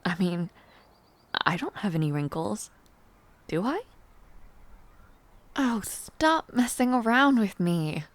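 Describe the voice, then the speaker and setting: A woman playing a refined, teasing young palace noblewoman in an intimate audio roleplay, speaking in a poised, formal, softly playful way. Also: mid-range voice, big pitch swings, takes it slowly, long pauses, no room sound. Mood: playfully indignant. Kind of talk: intimate audio roleplay acting, chatting to the listener.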